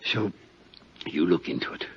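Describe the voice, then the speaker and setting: An actor playing a dying old convict in a 1950s radio drama, slangy, pleading and rambling in a husky voice. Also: male voice, normal speed, lightly acted. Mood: pleading, eager. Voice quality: husky, gravelly, coughing. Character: dying, wheedling old male convict, excitable schemer. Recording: dull 1955 radio recording.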